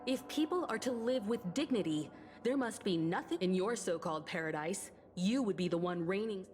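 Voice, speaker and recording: A woman's voice: medium pitch, animated, normal speed, a little mellow, long reverb tail, close to an everyday voice. A woman playing a principled, resolute young heroine in a video game, speaking firmly. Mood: firm, defiant. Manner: firm, declarative. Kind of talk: video game cutscene acting. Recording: music behind the voice.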